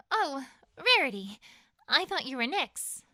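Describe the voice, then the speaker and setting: A woman's voice: high-pitched, big pitch swings, slow, bright, forceful tone, no echo, studio quality, exaggerated voice. A woman playing an anxious, caring, studious young pony mother in an audio story, with a flustered, hesitant manner. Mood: relieved. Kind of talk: acted audio story dialogue.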